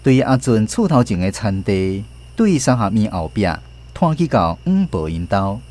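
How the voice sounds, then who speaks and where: A man's voice: fairly low pitch, sing-song, normal speed, darkish tone. A man with a Taiwanese accent narrating a radio drama.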